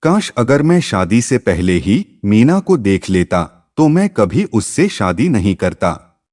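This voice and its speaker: A man's voice: fairly low pitch, sing-song, takes it slowly, a little mellow, exaggerated voice. A man voicing a dissatisfied, stubborn young groom in emphatic dialogue from a narrated moral story. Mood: upset, regretful.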